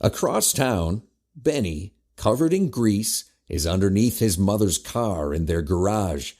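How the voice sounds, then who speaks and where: A man's voice: on the low side, animated, measured pace, a little mellow. A man narrating a scripted audio drama as its storyteller.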